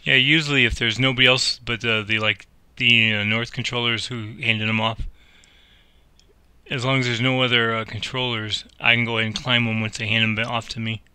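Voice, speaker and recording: A man's voice: mid-range voice, level tone, normal speed, sharp tone, smooth, no echo. A man playing an air traffic controller, mixing radio phraseology with casual commentary on his game.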